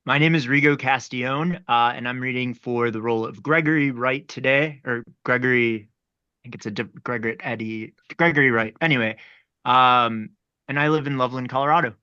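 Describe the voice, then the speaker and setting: Male actor, forward bright tone, very clear, dry dull call sound. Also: mid-range voice, a little fast, no room sound. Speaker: actor at a table read. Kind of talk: casual self-introduction. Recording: dry but muffled video call audio.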